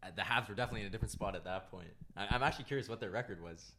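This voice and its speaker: A man appearing as a guest on a sports podcast, talking in a relaxed, slightly hesitant way. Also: lowish voice, racing, a little hiss or hum. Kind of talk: casual sports chat.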